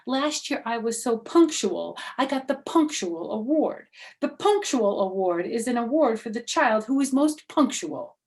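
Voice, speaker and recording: Storybook schoolgirl, woman performer: high, emphatic, boastful, bossy, self-important. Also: expressive, measured pace, a little mellow. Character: bossy boastful little schoolgirl, eager to please teacher. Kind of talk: children's storybook character voice.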